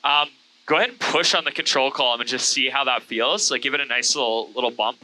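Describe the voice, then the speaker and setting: A man speaks at a medium pitch with a very bright, piercing tone. He hosts like an easygoing pilot, chatting and giving simple hands-on instructions in informal language. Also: fast, low-quality recording. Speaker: host, pilot. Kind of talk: casual chat and hands-on instruction. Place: small airplane cockpit in flight.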